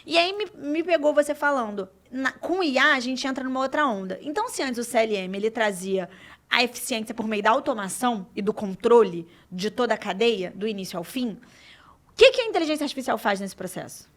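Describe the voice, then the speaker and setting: A woman's voice: on the high side, expressive, brisk. A woman with a Brazilian Portuguese accent hosting a podcast, chatty and addressing her listeners directly. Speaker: podcast host. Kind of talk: casual interview chat.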